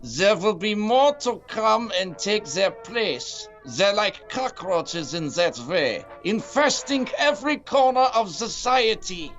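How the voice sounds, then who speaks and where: Decaying evil mastermind, high-pitched, bitter ranting, audio drama, dull recording, music underneath. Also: male voice, lively intonation, slow, few pauses, a bit bright, full character voice. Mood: bitter, sneering. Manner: theatrical, bitter, grandiose ranting. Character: villainous Hitler brain inside a rotting, frail stolen body. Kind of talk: audio drama villain acting.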